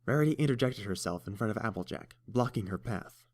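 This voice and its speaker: A man narrating a story in a steady, even storytelling manner. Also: lowish voice, a little fast. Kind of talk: fan audiobook narration.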